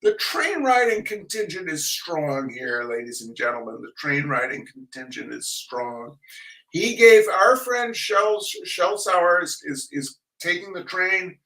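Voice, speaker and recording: A man's voice: fairly high pitch, very animated, a little slow, sharp tone, distant mic, low-quality recording. An American man hosting casually, rambling with folksy showman's asides and repeated phrases. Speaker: host of a fundraising reading show. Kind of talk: casual rambling chat to an audience. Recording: voice some way from the mic.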